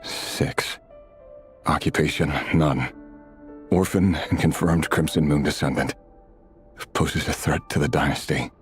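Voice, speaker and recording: A grim, duty-bound official from a video game, speaks in a very low voice. The delivery is formal and deliberate, with an uneasy, self-justifying edge, over loud music. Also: male voice. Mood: cold, clinical. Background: loud background music.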